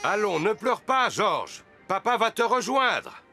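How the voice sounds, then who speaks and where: Kindly daddy pig, unhurried, expressive, timid, cartoon, music behind. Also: male voice, sits high, gravelly, exaggerated voice. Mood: soothing. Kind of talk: children's cartoon dubbing.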